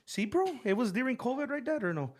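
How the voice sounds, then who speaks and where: Young Tejano man, high quick voice. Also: sing-song. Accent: South Texas Tejano, mixing English and Spanish. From Rio Grande Valley, Texas. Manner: quick, code-switching, friendly. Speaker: band leader guest. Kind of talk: casual interview talk.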